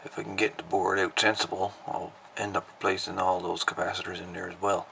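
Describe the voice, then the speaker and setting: A man giving a casual, unhurried, folksy hands-on repair narration, thinking aloud as he goes. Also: low-pitched, level tone, gravelly, fairly bright tone, a little hiss or hum. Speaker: hobbyist radio repairer presenting a video.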